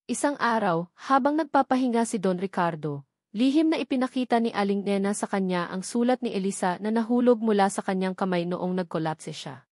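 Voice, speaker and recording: A woman's voice: mid-range voice, lively intonation, a little fast, a little mellow, dry recording, studio quality. A woman with a Filipino accent reading a scripted dramatic story as its narrator.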